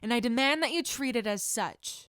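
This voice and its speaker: A woman's voice: a little high, lively intonation, normal speed, a bit bright, smooth, dry recording, clean studio sound. A woman voicing a strict, regal martial arts instructor, speaking in a formal, commanding way. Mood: stern, formal.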